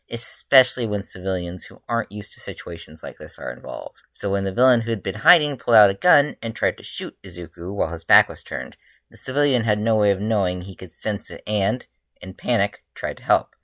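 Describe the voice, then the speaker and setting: A low-pitched narrator reads fiction aloud. The delivery is informal, like a live reading, with the odd stumble. The sound is dry, with little room in it. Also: fairly bright tone, no room sound. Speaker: author reading own fan fiction.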